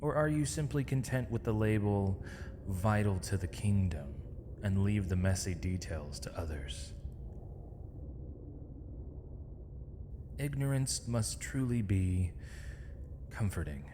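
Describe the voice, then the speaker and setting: A man playing a captured mage in an audio roleplay, defiant and weary, speaking in measured, sardonic, formal phrases. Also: on the low side, animated. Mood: bitter, challenging. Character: captured male mage, defiant, weary, eloquent, accused villain. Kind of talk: scripted ASMR audio roleplay. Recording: long reverb, clear background noise.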